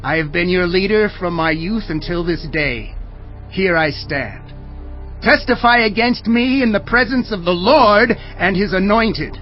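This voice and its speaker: An actor playing an old gray prophet, solemn and emphatic, declaiming in a dramatized audio Bible. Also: male voice, sits high, animated, measured pace, dull audio, faint music behind. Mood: solemn, challenging. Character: old gray prophet, stern, solemn leader addressing his people.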